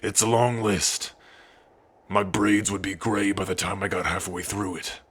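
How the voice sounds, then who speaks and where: A man playing a big, devoted, protective orc husband in an intimate ASMR roleplay, speaking tenderly in a husky, breathy voice with sighs and pauses. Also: low voice, little pitch movement, a bit bright, exaggerated voice. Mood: tender, hopeful. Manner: slow, tender, sighing, with pauses.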